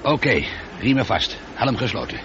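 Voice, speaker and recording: A man's voice: mid-range voice, sing-song, normal speed, a bit bright. An actor playing a weary, irritable, proud astronaut in a radio play, sardonic and volatile in his delivery. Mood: tense, subdued. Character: weary, irritable, proud middle-aged male astronaut alone aboard. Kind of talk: scripted radio play acting. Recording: dull old radio recording, faint noise.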